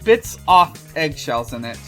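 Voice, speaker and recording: A woman podcast co-host with a low but very animated voice. She takes her time as she chats and reads fan fiction aloud, with faint music behind her. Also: unhurried. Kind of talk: casual chat and fan fiction read-aloud.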